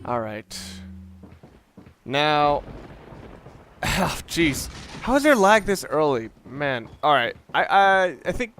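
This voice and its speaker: A man's voice: a little high, very animated, slow, pauses often, fairly bright tone, a little hiss or hum. A man giving casual, rambling commentary over a video game, wry and often stopping to restart his sentences. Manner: rambling, wry, self-interrupting. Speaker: let's play commentator.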